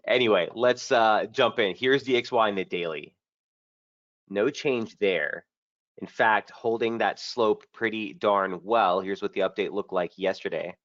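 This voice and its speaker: Host, male, lowish voice, a touch hoarse, brisk casual chart walkthrough, dull dry stream audio. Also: fairly bright tone, no room sound. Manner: brisk, casual trader talk with frequent fillers. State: slightly hoarse, under the weather. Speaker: market strategist hosting a trading webinar. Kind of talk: live market analysis webinar.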